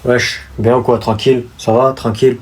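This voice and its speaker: A man's voice: medium pitch, little pitch movement, moderate pace, a little mellow, close to an everyday voice. Man acting brash, slangy, cocky young guy. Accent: French. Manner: slangy, challenging, repeats questions insistently. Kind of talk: acted social-media comedy sketch.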